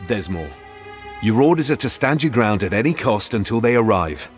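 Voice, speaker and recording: A man's voice: fairly low pitch, big pitch swings, normal speed, soft background music. An actor playing a bold male warrior who answers in short, confident lines. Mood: terse. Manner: terse, confident.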